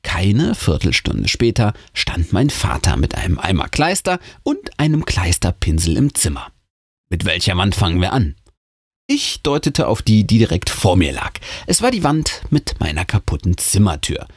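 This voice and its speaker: Husky man telling a spooky story, lively pitch. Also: moderate pace, hardly any pauses, no room sound, studio quality. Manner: theatrical, suspenseful. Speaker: host and narrator reading a horror story. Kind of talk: dramatic audiobook storytelling.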